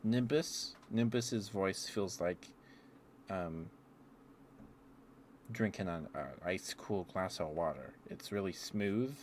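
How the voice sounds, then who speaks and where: Male ASMR streamer, low register, meandering casual delivery, some ambient noise behind the voice. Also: slow pace, pauses often. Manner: meandering, often chuckling to himself. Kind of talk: rambling ASMR livestream chat.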